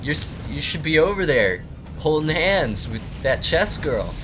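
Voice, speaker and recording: A man's voice: on the high side, sing-song, a little slow, background noise, voice pushed up. A man voicing nagging, demon-possessed talking hair that scolds in an improvised comic story. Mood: scolding. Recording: music behind the voice.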